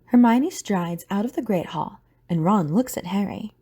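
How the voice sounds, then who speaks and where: Mellow, husky woman narrator. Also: mid-range voice, lively intonation, moderate pace. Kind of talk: scripted audio drama narration.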